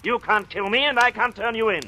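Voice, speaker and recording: An actor playing a scheming married doctor in a 1940s radio thriller, intense and deliberate in his dramatic delivery. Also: extremely high pitch. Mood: urgent, forceful. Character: scheming married doctor coldly plotting his wife's murder. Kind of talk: 1940s radio thriller acting with first-person narration.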